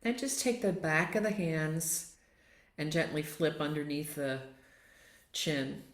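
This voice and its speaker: Low-pitched woman guiding meditation, roomy echo. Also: animated. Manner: gentle, unhurried, inviting. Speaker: yoga nidra guide. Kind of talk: guided meditation and relaxation instruction. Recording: roomy, echoing sound.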